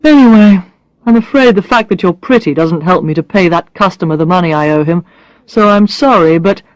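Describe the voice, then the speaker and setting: A woman reading the part of a kindly, tired young man who is her boss, speaking in a gentle, matter-of-fact way. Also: on the low side, a little fast, no echo, natural voice, low-quality recording.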